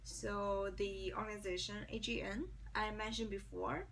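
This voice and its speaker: A woman's voice: mid-range voice, level tone, normal speed, a bit bright. Hesitant young woman with a Chinese accent. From China. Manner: hesitant, many restarts and fillers. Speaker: interviewee. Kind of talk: mock job interview answers. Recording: distant mic, faint background noise.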